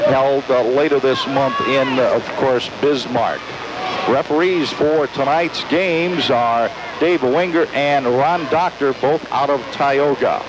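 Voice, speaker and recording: A male radio basketball announcer gives live play-by-play at a middling pitch. The broadcast recording is very noisy, with a loud wash of sound behind his voice. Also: takes it slowly, lo-fi sound. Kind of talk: live high school basketball commentary.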